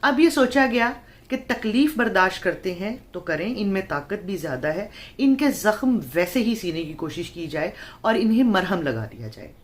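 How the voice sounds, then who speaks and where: A woman telling a horror story in a dramatic, suspenseful way, phrasing it deliberately with pauses. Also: medium pitch, sing-song. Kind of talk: dramatic horror story narration in Urdu-Hindi.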